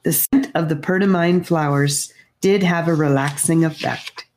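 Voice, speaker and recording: A woman reads a children's fantasy story aloud, gentle and unhurried. Her voice sits low for a woman. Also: a little hiss or hum. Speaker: audiobook reader. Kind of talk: storybook narration.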